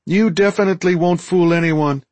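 A man voicing an excitable, frank young furry alien in a squeaky, eager voice for an audiobook story. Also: normal speed, no echo, natural voice. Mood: frank, apologetic. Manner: eager, blunt, simply worded.

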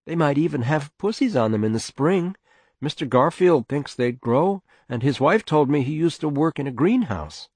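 A man's voice: on the high side, lively intonation, normal speed, darkish tone, breathy edge, no echo, pitched above the performer's own voice. A man voicing a long-suffering, persistent farm wife and mother in a storybook reading, pleading and aggrieved.

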